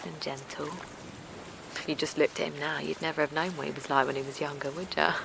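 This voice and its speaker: A woman's voice: sits low, steady tone, a little fast, vocal fry, noisy background. An actress playing a naive, kindly middle-aged village woman in an audio drama, hesitant and defensive, with colloquial phrasing. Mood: subdued, wistful. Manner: hesitant, colloquial, protesting, repeats herself. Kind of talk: naturalistic audio drama acting.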